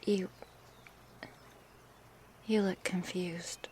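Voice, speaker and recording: A woman playing a gentle, curious, coaxing snake woman in an intimate ASMR roleplay, speaking softly and hesitantly in a breathy, often whispered voice. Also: on the low side, fairly even intonation, noisy background. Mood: tender, coaxing. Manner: slow, hesitant, soft-spoken with sighs and pauses. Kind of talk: ASMR roleplay, intimate one-sided dialogue.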